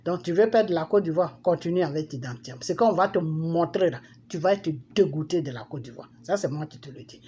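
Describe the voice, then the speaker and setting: A middle-aged woman with an Ivorian accent delivering an impassioned, forceful political monologue, scolding and repeating phrases for emphasis. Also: fairly low pitch, animated, brisk, hardly any pauses. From Ivory Coast. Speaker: political commentator. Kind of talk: impassioned political monologue addressed to public figures. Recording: noisy amateur recording with background ambience.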